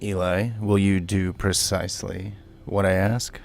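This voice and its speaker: A man's voice: low-pitched, little pitch movement, a little slow, vocal fry, a little hiss or hum. A man hosting a gaming video, narrating casually and speaking voice commands to the game. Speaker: video host and gamer.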